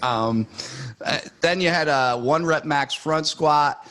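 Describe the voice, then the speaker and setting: A man's voice: on the high side, slow pace, fairly bright tone. Laid-back man hosting a chat. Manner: laughs often, many fillers. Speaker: podcast host. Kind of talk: casual chat.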